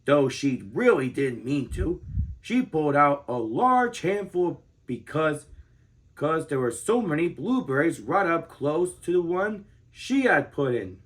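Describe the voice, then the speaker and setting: A man of thirty reading a children's book aloud as an expressive storyteller. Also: a little high, unhurried, low-quality recording.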